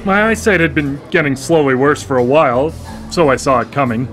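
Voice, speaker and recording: Male actor as awkward newly blind guy; stammering, wry, lively pitch. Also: a little fast, soft background music. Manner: hesitant and stammering, wry humor. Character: newly blind man, awkward, self-deprecating. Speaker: lead character in a radio drama. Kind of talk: live radio drama acting. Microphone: studio microphone.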